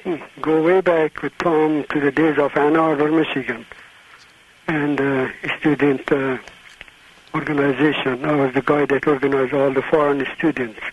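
Hesitant caller from Afghanistan. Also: male voice, fairly high pitch, level tone, normal speed, husky. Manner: hesitant, slightly formal phrasing. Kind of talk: phoning in to a radio show. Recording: muffled radio phone-in.

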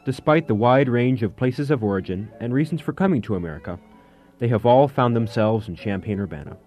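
A man reading a scripted documentary narration, calm and formal. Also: medium pitch, a little fast, soft background music.

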